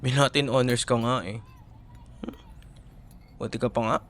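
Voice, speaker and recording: A man with a Filipino accent playing an affectionate, teasing young college boyfriend in an intimate, softly hesitant ASMR roleplay. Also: medium pitch, fairly even intonation, a little slow, slight background noise, natural voice. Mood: playful teasing.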